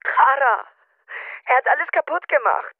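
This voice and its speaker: A woman's voice: sits high, expressive, normal speed, phone-quality sound. An actress playing a distressed, tearful woman who pleads with agitation in a radio drama. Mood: tearful, urgent. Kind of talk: modern German radio drama acting.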